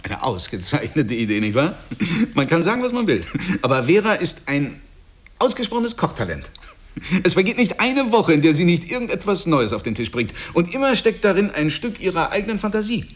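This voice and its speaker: A self-satisfied middle-class husband in a radio drama. His husky voice swoops widely as he lectures his friend with effusive, patronizing emphasis. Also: a little high, big pitch swings, a little fast. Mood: gushing, smug. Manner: emphatic, preachy, swinging between gushing and confiding. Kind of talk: German radio play acting.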